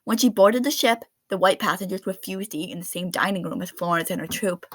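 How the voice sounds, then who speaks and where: A woman reading a children's picture book aloud in an expressive storytelling style. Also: medium pitch, fairly quick. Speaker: read-aloud narrator of children's books.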